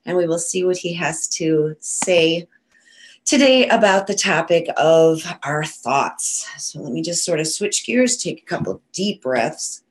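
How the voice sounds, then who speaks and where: Low-voiced woman with a stuffy cold. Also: expressive, unhurried. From Western New York. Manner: chatty, loose, many ums and uhs. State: nasal from a cold. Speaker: psychic medium guest. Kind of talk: casual talk-show chat.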